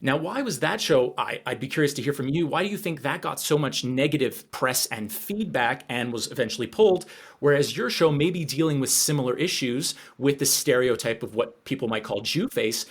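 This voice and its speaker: A man's voice: medium pitch, lively intonation, normal speed, husky. A man hosting an interview, asking long, inquisitive questions in a conversational style. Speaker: interviewer, podcast host.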